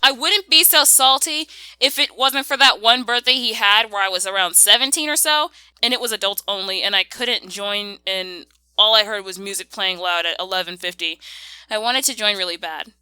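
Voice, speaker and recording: A woman's voice: mid-range voice, moderate pace, sharp tone, smooth, dry recording, faint music behind. A young woman delivering a casual scripted rant in an exasperated, sassy and opinionated way. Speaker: video creator.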